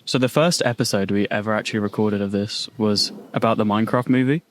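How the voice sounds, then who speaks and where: A man's voice: lowish voice, steady tone, a little hiss or hum. A young man from the UK co-hosting a podcast, talking in eager, slangy casual chat. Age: young adult. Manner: enthusiastic, slangy, quick to laugh. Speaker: podcast co-host.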